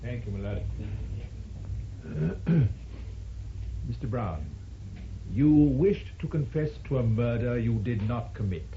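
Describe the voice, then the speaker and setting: An actor playing a stern, relentless prosecuting barrister with a formal, insistent manner in an old-time radio drama. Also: male voice, mid-range voice, expressive, takes it slowly, long pauses. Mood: probing. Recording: muffled, noisy, echoey old radio recording.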